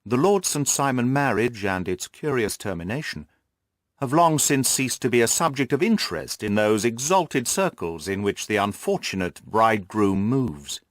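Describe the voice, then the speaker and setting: A man reading literary first-person narration for an audiobook in a measured, formal storytelling manner. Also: mid-range voice, lively intonation, no room sound, studio quality.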